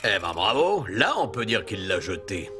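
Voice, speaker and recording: Wry, blunt cartoon tool, animated delivery. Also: deep voice, normal speed. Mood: sarcastic. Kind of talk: children's cartoon dubbing. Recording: music behind the voice.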